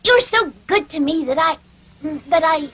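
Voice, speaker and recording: A woman's voice: very high-pitched, animated, unhurried. A woman voicing an eager, naive little puppet boy in a cartoon, childlike and effusive. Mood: grateful. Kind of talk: dubbed cartoon acting.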